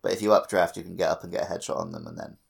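A man with a fairly low voice and plenty of creak speaks as a podcast co-host. His intonation moves around in a lively way as he casually chats about a video game. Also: very fast, crowd noise.

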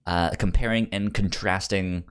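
A man's voice: sits low, steady tone, normal speed, clear, resonant tone. A man hosting a podcast in casual chat, upbeat and enthusiastic, sometimes stammering over his words. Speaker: podcast host.

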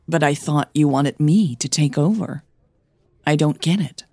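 A woman's voice: deep voice, measured pace, mellow, no room sound, clean studio sound. A woman voicing an uneasy, defensive grown daughter in an audio drama.

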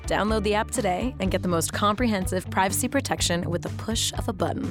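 Female ad voice-over, medium pitch, scripted commercial read with prominent music bed. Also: quick pace. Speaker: advertisement voice-over.

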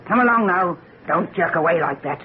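A tinny, slightly noisy old radio recording carries an actress as an ominous old country woman who tells fortunes. Her speech is rustic and foreboding, with a sharp tongue, and her voice sits fairly low for a woman. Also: lively intonation, moderate pace, natural voice. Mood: stern, foreboding. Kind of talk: old-time radio drama acting.

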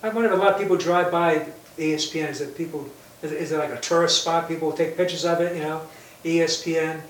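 A man's voice: on the high side, steady tone, fast talker. A man in his sixties from New York, chatting casually and jovially as a host and priest, often repeating his words. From New York, United States. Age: 60s. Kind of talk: casual chat. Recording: roomy, distant mic, faint noise.

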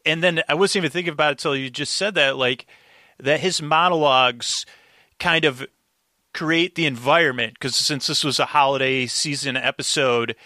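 A man with a bright, forward voice in a dry, close recording. He talks like a podcast guest in casual conversation, rambling and haltingly circling back on his own sentences as he explains. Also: fairly high pitch, dry recording. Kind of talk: casual interview chat, storytelling.

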